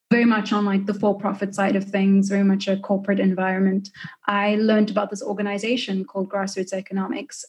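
A woman's voice: medium pitch, a little mellow. A woman in her early twenties with a South African accent, chatting casually as an interview guest. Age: early 20s. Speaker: podcast guest.

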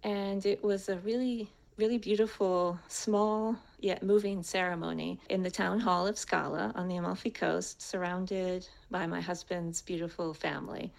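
An American woman speaks in a slightly low register with a creaky edge. She delivers a prepared personal introduction as conversational storytelling, as a co-host would. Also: fairly even intonation, moderate pace, few pauses, poor audio. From United States. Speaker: co-host and guest speaker telling her story.

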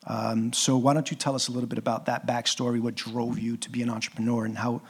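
A man's voice: mid-range voice, flat delivery, fast talker, slightly rough. A man moderating an entrepreneurs' panel, encouraging and enthusiastic, with plenty of ums and restarts. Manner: enthusiastic, filler-heavy, encouraging.

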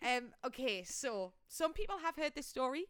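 Clear-voiced woman storyteller. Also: high voice, moderate pace, dry recording, clean studio sound. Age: young adult. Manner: animated, blunt, salty-tongued. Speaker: podcast co-host. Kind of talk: casual storytelling chat.

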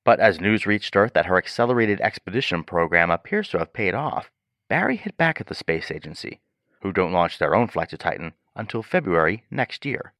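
An actor playing a sober news reporter, delivering a measured, scripted report on a space mission. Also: male voice, low-pitched, big pitch swings, fairly bright tone, dry recording, clean studio sound, heavily acted. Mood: grave, measured.

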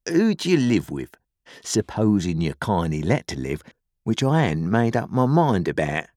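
A brutal, commanding convict acted out by a male audiobook reader growls threats in rough, rustic working-class speech. The pitch swings widely and rises above the reader's narration. The recording is close and dry. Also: big pitch swings, normal speed, darkish tone, dry recording. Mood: gruff questioning. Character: menacing, ragged escaped convict man, brutal and commanding.

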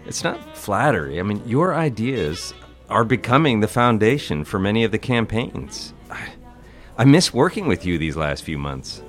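A courteous young suitor in a period drama, played by a man. His lowish voice swings widely in pitch, earnest and a touch hesitant, over faint music. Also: big pitch swings, normal speed, fairly bright tone. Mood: earnest, admiring. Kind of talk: period audio drama acting.

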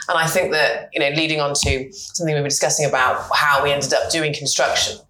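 British woman, bright, earnest podcast guest. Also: low voice, steady tone, fast talker, reverberant, rough recording. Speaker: guest, partner lawyer. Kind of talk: casual podcast chat.

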